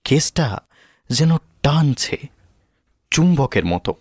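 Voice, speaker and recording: A man narrating a scripted audio story in a dramatic, deliberate manner. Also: a little high, sing-song, a little mellow, husky, dry recording, studio quality.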